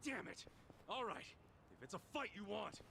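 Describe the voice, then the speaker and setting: A man's voice: high voice, very animated, moderate pace, creaky, bright, forceful tone, full character voice. An actor playing a plucky, plain-spoken male hero in a video game, casual and earnest in manner. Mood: fired up.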